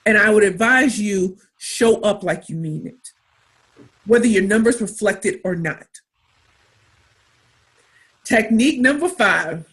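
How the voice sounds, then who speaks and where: A woman with a St. Louis accent coaching a workshop on pitching, speaking emphatically and repeating key phrases for punch. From St. Louis, Missouri. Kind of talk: motivational workshop talk.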